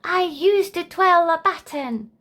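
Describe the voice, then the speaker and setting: A woman's voice: extremely high pitch, slow, sharp tone, pitched above the performer's own voice, full character voice. A woman voicing an elderly elephant in a children's storybook, proudly reminiscing about younger days. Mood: proud, nostalgic.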